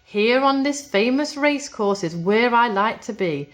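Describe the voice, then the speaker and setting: A woman voicing a dreamy, wistful male toy horse from a storybook, speaking in expressive singsong rhyme. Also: on the high side, unhurried. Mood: contented.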